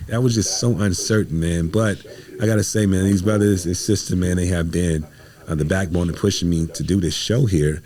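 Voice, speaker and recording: A man's voice: deep voice, steady tone, fairly quick, darkish tone. An American man talking casually as a podcast guest, slangy and emphatic, telling stories and quoting conversations. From United States, Midwest. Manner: slangy, emphatic, acts out reported dialogue. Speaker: podcast guest, stage playwright. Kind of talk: animated casual interview storytelling.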